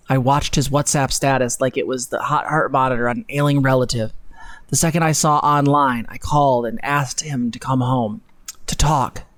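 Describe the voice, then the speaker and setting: A man's voice: mid-range voice, dry recording. A young man hosting a live stream, reading aloud dramatically with mocking, sweary, theatrical commentary. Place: home room, indoors.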